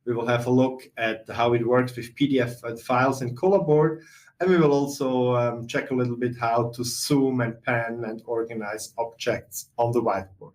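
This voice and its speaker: A middle-aged man with a Swiss accent, presenting a software webinar in a steady explanatory way with some fillers. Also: mid-range voice, normal speed. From Switzerland. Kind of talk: webinar walkthrough.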